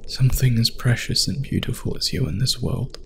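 A man playing a doomed warrior husband in an ASMR love-letter monologue, solemn and tender, slow and half-whispered. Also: mid-range voice, lively intonation, creaky, noisy background, pitched above the performer's own voice. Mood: proud, loving.

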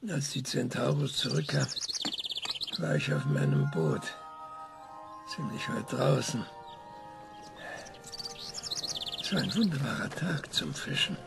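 An actor playing an older retired space scientist, halting in the interview and sharp and demanding on the phone in a radio play. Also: male voice, medium pitch, very animated, creaky, loud music behind the voice, heavily acted. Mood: reminiscing. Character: retired male scientist, gruff and indignant.

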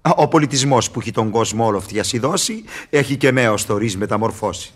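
Guy doing a sly wheedling devil, old-school radio theatre, pitch jumps all over. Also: expressive, natural voice. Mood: mocking, haughty. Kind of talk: radio theatre acting in verse.

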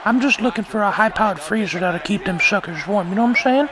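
Man putting on a high-pitched rambling old man. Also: lively intonation. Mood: rambling, friendly. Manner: halting, rambling, repeats himself. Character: creepy high-voiced old man, chatty prank caller. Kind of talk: prank phone call. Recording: very noisy call over game audio.

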